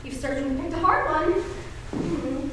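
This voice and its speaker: Bossy matchmaking wife, played by a young woman, very high and swooping, on an echoey stage. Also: a little slow, a bit bright. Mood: eagerly cheerful. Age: teens. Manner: gushing, scolding, emphatic. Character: bossy, fussy, matchmaking married woman hostess. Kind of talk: amateur stage acting. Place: high school stage, filmed play. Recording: echoey stage, distant mic, faint noise.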